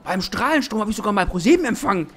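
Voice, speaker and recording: Man acting a high-pitched, pushy estate agent. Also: expressive, very fast, fairly bright tone, slightly rough, exaggerated voice. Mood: gushing sales pitch. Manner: theatrical gushing sales patter. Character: pushy, overeager male estate agent, gushing and desperate. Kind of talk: scripted comedic game fan-fiction acting.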